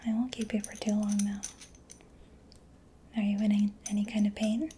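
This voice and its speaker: A woman performs a personal-attention ASMR roleplay in a breathy whisper, very close to the mic. Her tone is dark and soft, with little rise or fall as she murmurs gently and takes her time. Some ambient noise sits behind her. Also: mid-range voice, level tone, moderate pace. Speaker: ASMR creator playing a robot mechanic. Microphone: close-up sensitive microphone.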